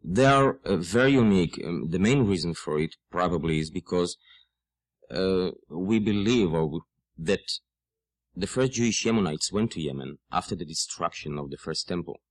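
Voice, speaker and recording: A man from Israel with an Israeli accent, a native Hebrew speaker, explaining folk music as an interview guest in a halting, word-searching way. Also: low-pitched, expressive, dry recording. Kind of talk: radio interview conversation.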